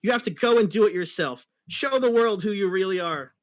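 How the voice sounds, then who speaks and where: A man's voice: high voice, a little fast, pitched above the performer's own voice, natural voice. A man voicing a cocky, brash young cartoon hedgehog hero in a boastful, blunt way. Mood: preachy.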